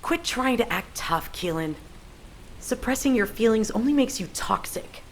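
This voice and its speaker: An actress voicing a cold, proud agent in a video game, deliberate and cutting. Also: female voice, fairly high pitch, lively intonation, slight background noise. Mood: icy.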